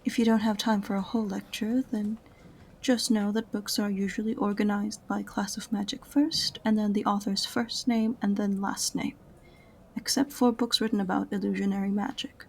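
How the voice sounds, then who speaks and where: A woman's voice: mid-range voice, little pitch movement, normal speed, husky. A woman playing an aloof, sarcastic witch librarian in a fantasy audio roleplay, prim and condescending in a dry way. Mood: prim, grudging. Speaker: voice actor in amateur audio roleplay.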